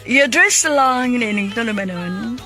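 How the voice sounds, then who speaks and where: A woman's voice: a little high, animated, unhurried, sharp tone, faint music behind. A woman with a Cebuano Filipino accent hosting and narrating a radio drama program in a scripted style.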